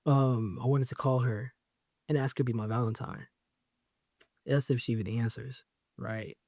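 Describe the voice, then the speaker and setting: Young man, podcast host, casual joking delivery, slang and fillers, mid-pitched voice, dry close sound. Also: fairly even intonation, moderate pace, darkish tone, no room sound. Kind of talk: casual solo podcast talk.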